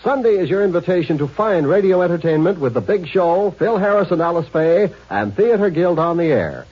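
Lively old radio promo man. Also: sits high, brisk, husky. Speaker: network announcer. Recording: dull old radio recording.

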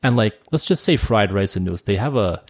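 A man, his Cantonese. His voice sits very low and has a gravelly fry. He chats loosely as a guest, repeating words and padding with fillers. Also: lively intonation, fairly quick. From Canada. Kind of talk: casual interview chat.

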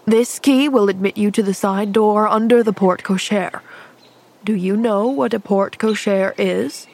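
A woman reading a storybook aloud, voicing a gruff, irritable injured man who snaps his words. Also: medium pitch, a little slow, a little mellow. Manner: snappish, grim.

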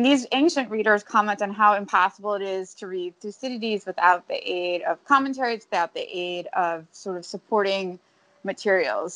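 A woman translator answering interview questions in a measured, academic way, with frequent ums and restarts. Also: mid-range voice, fairly bright tone, poor audio. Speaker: translator of ancient Greek.

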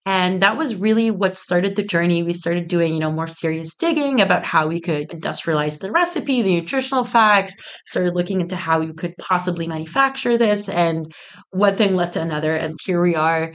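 A woman's voice: on the low side, lively intonation, normal speed, a bit bright. A woman of about thirty from Egypt, chatting informally as an interview guest and telling stories casually with frequent asides. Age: around 30. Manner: informal, peppered with "like" and quoted asides. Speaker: interview guest, business founder. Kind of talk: casual conversational storytelling.

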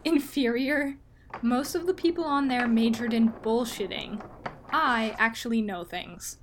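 A woman's voice: a little high, a little slow, slight background noise, lightly acted. A woman playing a snarky young science show host in a scripted comedy, casual and slangy. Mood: sardonic.

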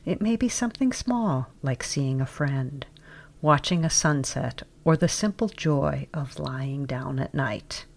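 A woman leading a scripted guided meditation, giving measured, deliberate instructions. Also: rumbling low, gravelly.

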